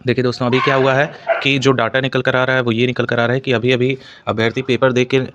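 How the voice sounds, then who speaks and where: A man's voice: mid-range voice, flat delivery, fast, a bit bright, highs cut off. A man explaining exam information to students as a channel host, in an explanatory way with repeated stock phrases.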